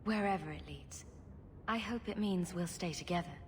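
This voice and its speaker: A woman's voice: lowish voice, normal speed, a little hiss or hum, close to an everyday voice. A woman playing a witty, sarcastic female rogue adventurer in a video game, with a playful delivery. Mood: earnest. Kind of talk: dramatic video game acting.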